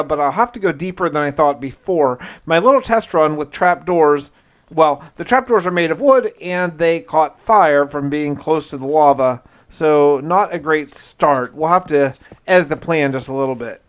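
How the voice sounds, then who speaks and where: A man's voice: a little high, lively intonation, moderate pace. A man giving a casual first-person gameplay narration in a conversational, informal, musing way. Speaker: gaming video narrator.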